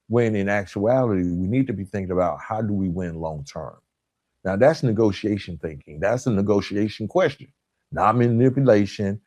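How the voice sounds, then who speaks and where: Low-voiced man giving an emphatic motivational talk. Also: a little mellow, dry recording. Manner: emphatic, instructional, with deliberate pauses and stressed key words. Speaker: podcast host. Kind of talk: motivational self-help monologue.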